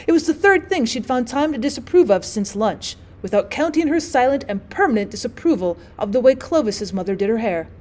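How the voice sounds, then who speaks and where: A woman's voice: fairly high pitch, animated, brisk, few pauses, darkish tone. A woman reading a classic short story aloud as scripted audiobook narration, like a teacher for her students.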